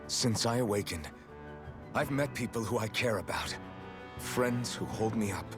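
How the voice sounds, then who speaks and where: An actor playing an earnest, fiercely protective young warrior in a video game. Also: male voice, on the low side, lively intonation, measured pace. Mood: earnest, determined. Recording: game music behind the voice.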